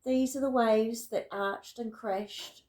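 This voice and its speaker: A woman reading a rhyming picture book aloud in an expressive, deliberate storytelling manner. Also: a little high, echoey room. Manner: dramatic, deliberate storytelling with emphatic words. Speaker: storybook reader.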